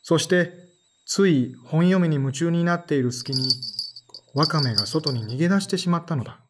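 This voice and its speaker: A man's voice: fairly high pitch, normal speed, background noise, close to an everyday voice. An actor playing an earnest, emotional young man who dreams of acting, both narrating and speaking in an audio drama. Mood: reflective. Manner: earnest, emotional, sighs and pleads. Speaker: narrator and lead character. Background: soft background music.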